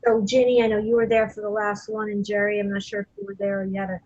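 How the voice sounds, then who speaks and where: A woman's voice: mid-range voice, little pitch movement, fast talker, a little mellow, no room sound. A woman hosting a casual video call meeting, rambling with many fillers and restarts. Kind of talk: casual meeting host talk. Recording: muffled video call audio.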